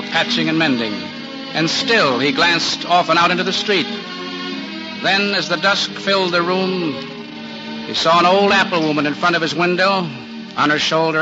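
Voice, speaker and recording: An actor playing a solemn storyteller, narrating a radio drama in a measured, literary manner. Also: male voice, on the high side, close to an everyday voice. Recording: muffled old radio recording with music.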